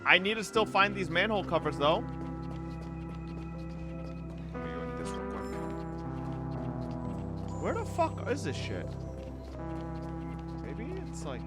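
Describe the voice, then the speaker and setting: A young man streaming a game, reacting with loud, profane, joking running commentary over game music. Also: sits high, huge pitch swings, normal speed, long pauses. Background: game music and dialogue.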